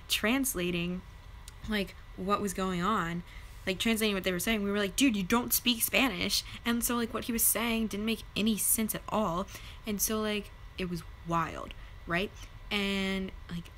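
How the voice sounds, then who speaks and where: A woman's voice: medium pitch, fairly even intonation, measured pace, a bit bright, slight background noise, rough recording. A young woman with a head cold and a stuffed-up nose, rambling casually through a story with lots of fillers. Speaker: vlogger.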